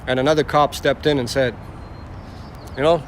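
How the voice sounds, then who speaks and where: A man's voice: mid-range voice, fairly even intonation, moderate pace, slight background noise. A man from the former Yugoslavia chatting casually with livestream viewers from inside a car, rambling, repeating himself and answering the chat. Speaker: rideshare driver livestreaming. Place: inside a car on city streets.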